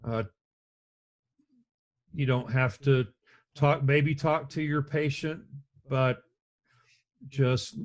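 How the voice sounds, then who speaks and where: Middle-aged man, deep voice, unhurried EMS instructor on a webcam lecture, repeats himself as he goes. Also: steady tone. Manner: slow, measured, conversational with frequent restarts. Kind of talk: recorded slide lecture. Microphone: webcam and computer mic on a video call.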